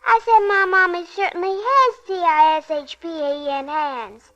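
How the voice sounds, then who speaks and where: Very high-voiced little girl. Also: unhurried, pushed and bright, exaggerated voice. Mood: innocent, chatty. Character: chatty, innocent little girl praising her mother. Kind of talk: 1940s radio commercial acting. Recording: dull, lo-fi 1940s radio recording.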